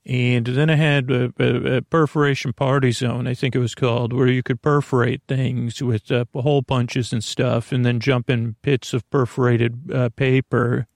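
A man hosting a bedtime podcast in a gravelly voice, rambling in a halting, meandering way, repeating words and circling back after losing the thread. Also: medium pitch, little pitch movement, a little mellow, no echo, clean studio sound. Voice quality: gravelly, creaky voice. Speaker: podcast host.

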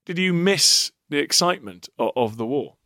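A man interviewing a war veteran, asking short, gentle questions. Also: fairly high pitch, lively intonation, moderate pace, no room sound, clean studio sound.

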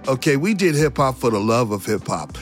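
A man's voice: mid-range voice, sing-song, fairly quick. A middle-aged or older man, a musician, looking back reflectively as a guest in an interview. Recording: music playing behind the voice.

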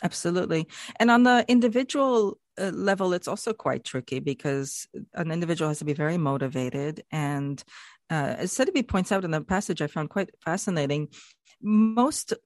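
A speaker in their forties talks through ideas in a thoughtful, explanatory way. The voice sits in a middle pitch. It is recorded close and dry, with no room sound. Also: normal speed, dry recording. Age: 40s. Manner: thoughtful, explanatory, with light laughs. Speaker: guest discussing language loss. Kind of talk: reflective podcast conversation.

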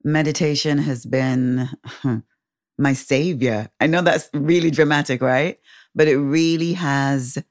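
Woman, 40s, very deep voice, candid storytelling, laughs often. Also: slow pace. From England, raised in the Caribbean. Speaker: guest sharing her story. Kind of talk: personal storytelling.

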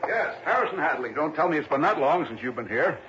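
A noisy, muffled vintage radio recording of a genial middle-aged American businessman. He is talkative and hearty, rattling along quickly in early sixties radio drama style. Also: fairly high pitch, very animated. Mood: hearty, welcoming.

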